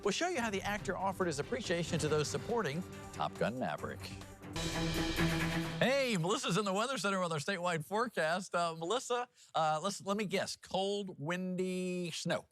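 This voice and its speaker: A man's voice: high voice, very animated, normal speed, a bit bright, faint music behind. A man working as a news anchor, presenting headlines and slipping into casual, jokey banter. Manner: jokey, conversational.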